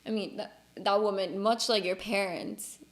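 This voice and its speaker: A woman's voice: medium pitch, fairly even intonation, normal speed. A woman from Cuba, a native Spanish speaker, hosting a casual conversational podcast in an earnest, emphatic way. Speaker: podcast host.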